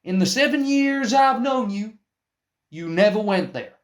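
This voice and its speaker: A man's voice: high-pitched, animated, a little slow. A man playing an eccentric, evasive film collaborator in a comic radio play, insistent and theatrically cryptic. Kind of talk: scripted comic mock interview.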